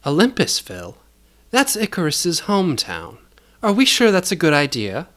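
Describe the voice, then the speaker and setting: A man's voice: on the high side, wildly swooping pitch, moderate pace, fairly bright tone, pitched above the performer's own voice. A man voicing an anxious, vain young rich woman in an audio story, with a fretful manner. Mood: worried.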